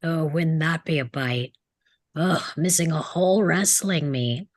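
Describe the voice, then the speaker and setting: A woman's voice: low voice, unhurried, no echo. A woman playing a sarcastic, rebellious teenage boy in a script table read, with a mocking, sardonic delivery. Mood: dry mockery. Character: sarcastic rebellious male teenage troublemaker, taunting and cynical.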